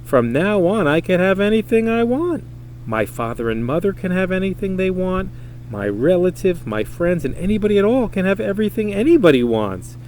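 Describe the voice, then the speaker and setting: A man voicing an excitable, hopeful young male donkey from a storybook, exclaiming and wishful. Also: high voice, normal speed, slight background noise, pitched above the performer's own voice. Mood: delighted.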